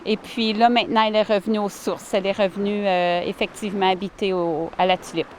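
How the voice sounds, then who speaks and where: A woman, answering interview questions warmly and earnestly outdoors by a lake, with many fillers and restarts. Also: medium pitch, fairly even intonation, brisk, a little hiss or hum. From Ottawa, Canada. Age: 40s. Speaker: village mayor, interviewee.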